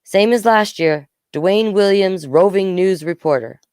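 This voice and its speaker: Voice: mid-range voice, measured pace, darkish tone, smooth, dry recording, studio quality, voice pushed up, lightly acted. A storyteller voicing a chatty, good-natured schoolboy who is a roving school reporter. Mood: showy.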